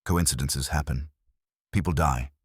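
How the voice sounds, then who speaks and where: A man's voice: extremely low pitch, a little slow, slightly rough, no echo, clean studio sound, heavily acted. A man voicing an ambitious, evasive grown son of a family business in an audiobook mystery, with clipped, calculating speech. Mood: guarded.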